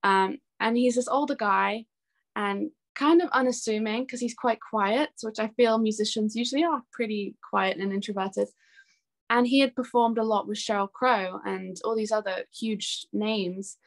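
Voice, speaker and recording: A young woman talks in a casual interview as a musician. Her voice sits at an average pitch, and she speaks in a chatty, enthusiastic way, giving relaxed, anecdotal answers. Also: normal speed, vocal fry. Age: young adult.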